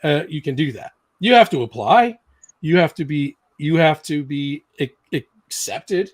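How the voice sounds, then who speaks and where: Animated higher-voiced male host. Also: measured pace. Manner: animated, emphatic, laughs often. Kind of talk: casual live-stream chat.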